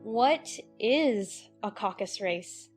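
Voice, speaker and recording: A woman's voice: mid-range voice, lively intonation, drawn-out words, slightly rough, faint music behind, full character voice. A woman playing a polite, curious little girl in a dramatized storybook. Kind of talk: dramatized storybook audiobook acting.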